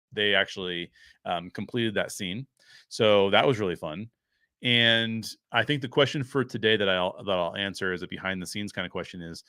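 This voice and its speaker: Low-voiced man, dry close sound. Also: a bit bright, dry recording. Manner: conversational, often thinking aloud. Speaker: host of a pop culture review show. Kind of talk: casual solo commentary to viewers.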